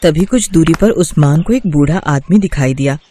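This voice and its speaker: A man's voice: fairly high pitch, expressive, normal speed, mellow, a little hiss or hum. A man narrating a moral bedtime story as scripted storybook narration.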